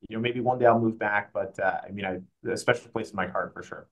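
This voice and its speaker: Man, fast talker, conversational, self-correcting, interview guest. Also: on the low side. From Mexico. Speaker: guest, private client advisor.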